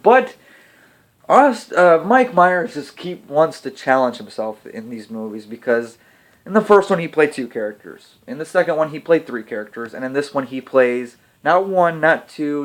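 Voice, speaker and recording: A man giving a casual video film review, chatty and hesitant with frequent pauses. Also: medium pitch. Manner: hesitant, lots of ums and restarts, chatty. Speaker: movie reviewer.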